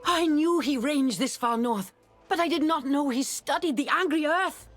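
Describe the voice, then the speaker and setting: An actress voicing a studious, earnest woman scholar in a video game, with an expressive, theatrical delivery. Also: high-pitched, normal speed, faint music behind, lightly acted. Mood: astonished.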